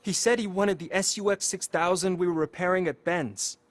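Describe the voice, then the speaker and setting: A man's voice: high voice, steady tone, moderate pace, dry recording, close to an everyday voice. An actor playing a troubled teenage boy and petty thief in a video game, earnest and streetwise, with an anxious, regretful manner. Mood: anxious, confessing. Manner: pleading, earnest, streetwise slang. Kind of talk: video game cutscene acting.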